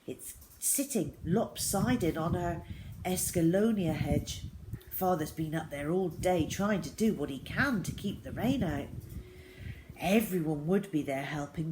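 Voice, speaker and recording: Low-voiced woman reading a story, noisy recording. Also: lively intonation, a little slow. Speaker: storyteller reading a children's novel aloud. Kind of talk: expressive first-person diary storytelling, read aloud.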